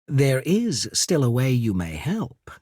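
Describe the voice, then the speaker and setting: An actor playing a shrewd, courteous naval emissary in a fantasy video game, speaking in a measured, formal, persuasive way. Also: male voice, mid-range voice, huge pitch swings, mellow, dry recording, clean studio sound, heavily acted. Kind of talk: scripted fantasy game dialogue.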